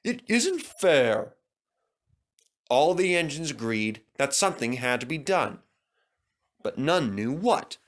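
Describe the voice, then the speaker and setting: Animated male storybook narrator. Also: medium pitch, unhurried. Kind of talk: scripted children's story narration.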